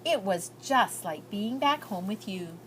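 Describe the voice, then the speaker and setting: An earnest, shy young girl shares her letters in a storybook, voiced by a woman. The delivery is heartfelt and lilting, at a medium pitch. A little noise sits behind the voice. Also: lively intonation, measured pace, fairly bright tone, low-quality recording. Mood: earnest, hopeful.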